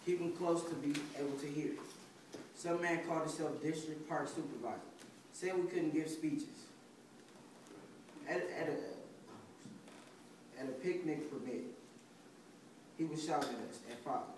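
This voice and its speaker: An indignant marcher recalls events in clipped phrases read by a young man. His slightly high male voice is far off, with heavy echo and clear noise. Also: unhurried, pauses often, a little mellow, lightly acted. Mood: indignant recollection. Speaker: student performer in a dramatic reading. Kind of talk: dramatic reading of clipped recollection. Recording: very echoey noisy hall, far off.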